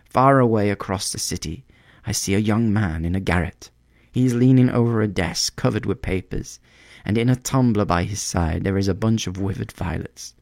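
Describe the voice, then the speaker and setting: A man voicing a compassionate male prince statue in a storybook, solemn and sorrowful, gently commanding in his appeals. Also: deep voice, gravelly, no echo, studio quality.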